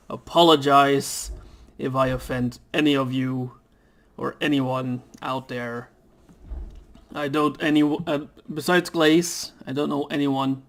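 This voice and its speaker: A young man talks casually over a game at home into a desk mic, and he rambles and hesitates with plenty of fillers. His throat is irritated, so he keeps clearing it. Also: mid-range voice. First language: Dutch. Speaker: gaming YouTuber. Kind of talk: casual let's play commentary. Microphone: desk microphone.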